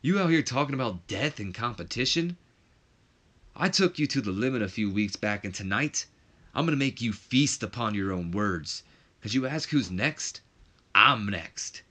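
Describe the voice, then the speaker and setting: A man voicing a brash male cruiserweight wrestler, slangy, animated and challenging. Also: on the low side, moderate pace.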